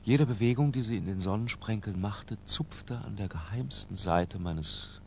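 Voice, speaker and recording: An actor playing an obsessive, lyrical middle-aged intellectual who confesses in a hushed, deliberate literary radio drama narration. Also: male voice, fairly low pitch, slight background noise. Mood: measured confiding. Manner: hushed, deliberate, breaking into near-whispers.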